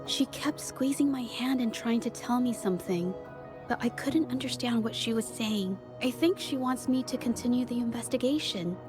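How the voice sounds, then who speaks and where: Game role: gentle young female painter played by a woman, high voice, thoughtful and courteous, music behind. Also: normal speed, lightly acted. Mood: thoughtful, puzzled. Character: gentle, earnest young woman painter turned amateur investigator. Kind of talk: English video game voice acting.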